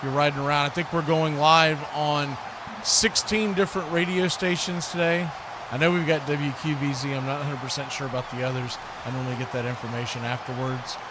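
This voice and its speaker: A man's voice: a little high, fairly even intonation, a little fast, a crowd in the background. A man hosting live sports commentary in a casual, folksy way, chatting to viewers as a play-by-play announcer. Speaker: play-by-play announcer and livestream host. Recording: clearly noisy live stream with background ambience.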